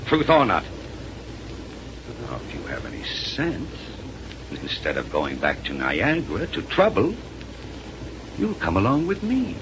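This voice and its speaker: An actor playing a smooth, menacing, condescending villain in a 1930s science-fiction radio serial, mocking and theatrical. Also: male voice, low voice, huge pitch swings, a little slow, long pauses, full character voice. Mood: coaxing, sly. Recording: noisy vintage 1930s radio recording.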